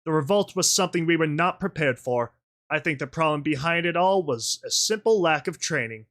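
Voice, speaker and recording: A burly male pony officer from a dark story, acted out by a man. He reports to his superior formally and with deference. The pitch is a little high for a man. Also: lively intonation, fairly quick. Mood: formal, defensive.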